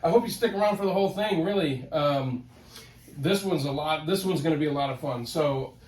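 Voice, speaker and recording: A man with a head cold, sounding nasal, hosting a casual collector vlog from his home office in an excitable, rambling way that repeats words. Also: fairly high pitch, a little fast. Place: home office, ten-foot ceiling. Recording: roomy home office, mic at a distance.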